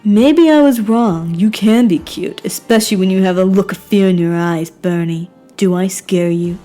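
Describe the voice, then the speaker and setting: A woman's voice: medium pitch, normal speed, dark tone, soft background music, close to an everyday voice. A woman voicing a cruel, goading, sinister young man in an amateur game. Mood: menacing.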